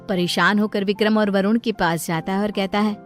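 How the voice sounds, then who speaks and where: A woman's voice: mid-range voice, fairly even intonation, normal speed. A woman storyteller reading scripted narration of a moral tale. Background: light background music.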